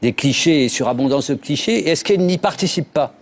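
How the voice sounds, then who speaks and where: A man's voice: fairly high pitch, animated, fairly quick. A man on a TV panel asking formal, probing questions.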